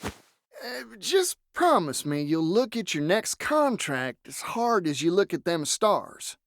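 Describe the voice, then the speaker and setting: An easygoing, cowboy-like fortune seeker in a game. The voice is folksy and colloquial, rising and falling freely in an unhurried drawl. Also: medium pitch, sing-song, no room sound, clean studio sound. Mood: firm, wry. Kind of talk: dramatic video game voice acting.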